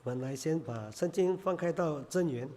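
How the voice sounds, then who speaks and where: Hoarse man preaching, mellow voice. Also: a little high. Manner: halting, many filler sounds. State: hoarse from a cold. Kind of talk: sermon in Chinese.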